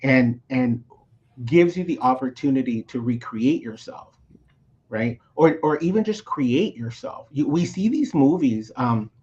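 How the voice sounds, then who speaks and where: A man's voice: medium pitch, measured pace, muffled recording. A man from Mexico who was raised in Texas, a coach talking animatedly in a casual interview and often checking in with "right?". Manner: animated, keeps adding tag questions. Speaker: coach, podcast guest.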